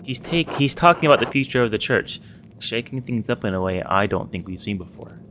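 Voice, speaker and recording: A man's voice: fairly low pitch, expressive, fairly quick, fairly bright tone, a little hiss or hum, rough recording. Man reading as an insistent, opinionated baron. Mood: enthusiastic. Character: opinionated nobleman baron, keen on new ideas. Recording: music under the voice.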